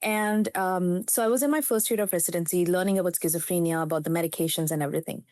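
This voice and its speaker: A woman's voice: fairly low pitch, quick pace, darkish tone, no room sound, clean studio sound. A woman in her fifties from India, a native Telugu speaker with an Indian English accent, telling her story as a psychiatrist guest in an interview in an earnest, reflective way.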